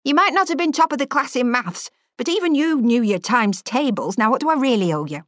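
A woman voicing a sharp-tongued elderly former headmistress who speaks bluntly and scolds. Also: high voice, lively intonation, a little fast, no room sound, clean studio sound, voice pushed up.

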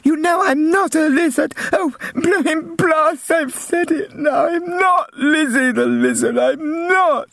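A man's voice: very high voice, sing-song, unhurried, vocal fry, few pauses, darkish tone, husky, no room sound, voice pushed up, exaggerated voice. A man voicing a blunt, tearful little girl with a lisp, in a storybook reading. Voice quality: lisping.

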